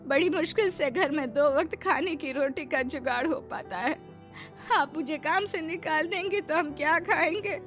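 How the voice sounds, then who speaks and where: A woman voicing a meek, poor village housemaid in an animated moral story, deferential and pleading. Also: sits high, normal speed, soft background music, voice pushed up.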